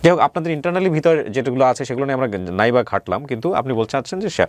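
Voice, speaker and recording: A man's voice: medium pitch, expressive, rapid-fire, no room sound. A man with a Bangladeshi accent hosting a radio interview, earnest and probing, explaining at length and mixing in English words. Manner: mixes English words into his speech. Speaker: radio show host.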